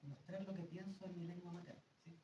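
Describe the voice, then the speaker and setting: A man's voice: sits high, level tone, brisk, reverberant. Male academic, hesitant Q&A, distant noisy room mic. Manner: hesitant, frequent restarts and tag questions. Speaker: lecturer answering questions. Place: seminar room.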